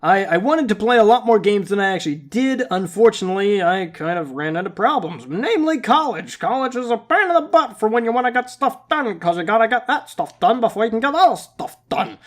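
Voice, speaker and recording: A man's voice: sits high, expressive, fairly quick, hardly any pauses, a bit bright. A young man hosting a gaming channel update vlog, talking casually in a rambling, playful, self-deprecating way, his voice slightly scratchy and nasal from congestion. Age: young adult.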